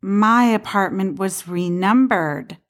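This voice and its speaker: An actress playing a skeptical, easily flustered woman in a comedic audio play, talking in a halting, conversational, protesting way. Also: mid-range voice, lightly acted. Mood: casual, curious. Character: skeptical, flustered woman who rejects romantic destiny.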